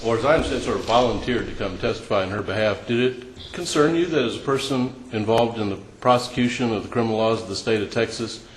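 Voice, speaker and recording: A man's voice: fairly low pitch, quick pace, hardly any pauses. A man questioning a witness at a hearing in a plain, methodical way. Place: hearing room. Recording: echoey room with background noise.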